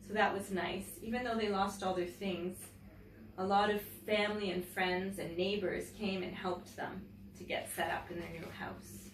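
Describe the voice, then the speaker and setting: A woman teacher reading a picture book aloud to children in an expressive storytelling manner, pausing to comment and ask questions. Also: mid-range voice. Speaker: teacher and story-time host. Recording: roomy, distant mic, faint background noise.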